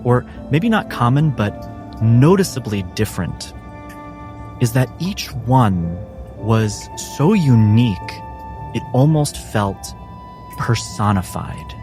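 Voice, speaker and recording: A man narrating a tabletop game as game master, in measured, deliberate phrases with dramatic pauses. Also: mid-range voice, animated, music in the background.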